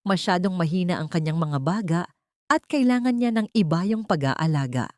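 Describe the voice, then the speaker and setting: A woman voicing a tired male doctor giving calm news, in a storybook reading. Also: fairly low pitch, moderate pace, no echo, studio quality, lightly acted. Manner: calm, informing. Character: tired but relieved male hospital doctor.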